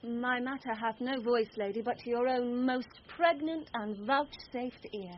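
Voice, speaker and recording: A woman playing a young woman disguised as a quick-witted page in Shakespearean radio drama, poised and articulate. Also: fairly high pitch, natural voice. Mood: courtly, deferential. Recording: dull radio recording, faint noise.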